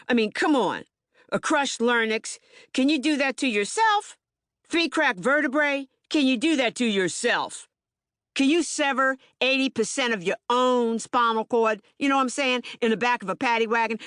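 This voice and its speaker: A woman's voice: a little high, animated, normal speed, a bit bright, no echo. Woman acting emphatic streetwise man, close and dry. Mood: indignant, insistent. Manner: emphatic, colloquial, repetitive, stammering on words. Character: agitated, streetwise young Baltimore man, eyewitness bystander. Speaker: actor in documentary theater. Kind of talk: dramatic monologue, audiobook theater.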